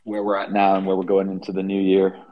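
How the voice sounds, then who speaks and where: Flat-voiced deep man chatting casually. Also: fast talker, muffled recording, slight background noise. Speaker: guest developer. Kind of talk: casual chat.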